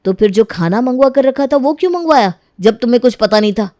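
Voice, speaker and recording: A woman's voice: fairly high pitch, expressive, quick pace, mellow, no room sound, close to an everyday voice. Woman playing a stern, suspicious young husband, dry sound. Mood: angry, suspicious. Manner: scolding, questioning. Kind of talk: dramatised moral story.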